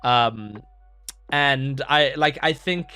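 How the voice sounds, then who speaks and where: A man's voice: medium pitch, very slow, pushed and bright, smooth, faint music behind. Earnest man rambling through a reaction. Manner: rambling, heavy use of "like" fillers and restarts; earnest, thoughtful. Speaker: anime reaction channel host. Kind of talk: casual reflective commentary.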